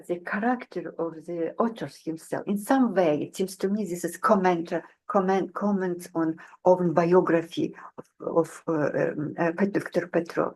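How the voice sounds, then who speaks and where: A woman scholar on a panel, speaking halting English with non-native grammar and searching for words. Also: fairly low pitch, darkish tone. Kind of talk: panel discussion answer.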